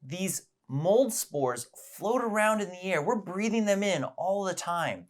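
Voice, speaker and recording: A man's voice: high-pitched, animated, measured pace. A man presenting a science lesson as storytelling, explaining things simply and with emphasis.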